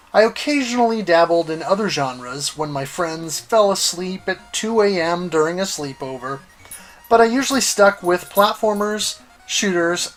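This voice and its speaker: A middle-aged man hosting a retro gaming series, reading scripted personal narration with a deliberate, dramatic delivery and emphatic pauses. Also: on the high side, a bit bright.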